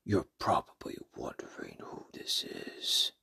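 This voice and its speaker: A man voicing a sinister, menacing horror ghoul in a breathy, drawn-out whisper, in the style of a horror podcast cold open. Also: sharp tone. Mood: creepy, menacing.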